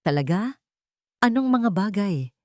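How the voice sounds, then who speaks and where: A woman's voice: medium pitch, big pitch swings, a little slow, no room sound. A wealthy Filipino suitor from a romance story, acted out by a woman. He is suave, shrewd and calculating, with a probing manner, in a dry studio-close recording.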